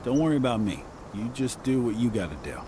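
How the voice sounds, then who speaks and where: A man's voice: medium pitch, sing-song, fast talker, background noise, natural voice. A man playing a loyal, wry friend in a video game, talking in a casual way. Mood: reassuring. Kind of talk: video game cutscene acting.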